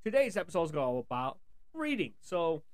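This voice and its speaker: A man with a noticeably high voice hosts a podcast. He talks casually and informally, addressing listeners directly in a solo monologue. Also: big pitch swings, normal speed. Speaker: podcast host.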